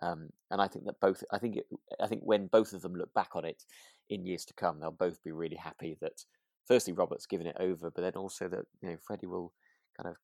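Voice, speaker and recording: A British man chats informally as a podcast co-host. His voice is low with a gravelly creak, and it is recorded dry, without room sound. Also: fairly even intonation, fast talker, darkish tone, no echo. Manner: informal, conversational. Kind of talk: casual chat discussing a radio drama.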